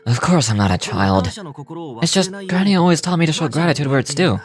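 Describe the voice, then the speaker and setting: A woman's voice: sits low, big pitch swings, fairly quick, over music, voice pushed down. A woman voicing an earnest, deferential young man in game dialogue, polite and apologetic.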